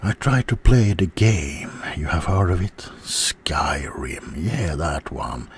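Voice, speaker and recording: Guy doing a sulky offended werewolf for asmr, real low n slow, kinda halting, goes whispery a lot. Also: expressive, slight background noise. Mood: indignant. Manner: halting, aggrieved, often dropping to a whisper. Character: offended, self-pitying male werewolf named Fred. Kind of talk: ASMR roleplay monologue in a mock interview.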